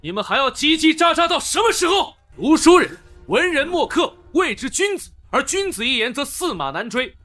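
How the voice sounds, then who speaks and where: Male actor, brash young ancient-China official, high pitched, very animated, defiant, declamatory, dry studio sound. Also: normal speed, hardly any pauses, dry recording, faint music behind, exaggerated voice. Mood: defiant, taunting. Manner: taunting, declamatory. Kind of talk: Chinese historical comic drama dubbing.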